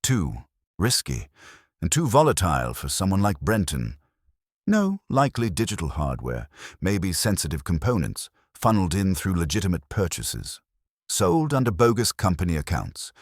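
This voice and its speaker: Male reader as grave storybook sleuth, bottom-register, pronouncing verdicts with deliberate weight and varied intonation. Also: low-pitched, big pitch swings, no room sound, studio quality. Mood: grave, certain. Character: stern, deliberate male detective, grave and unhurried.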